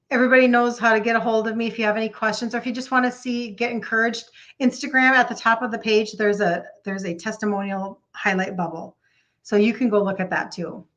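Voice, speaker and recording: High-voiced, gushing Minnesota woman. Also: lo-fi sound. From Minnesota, United States. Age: 50s. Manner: excitable, gushing, repeats phrases for emphasis; chatty, addresses viewers by name. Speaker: live stream host, health coach. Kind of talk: casual live chat with viewers.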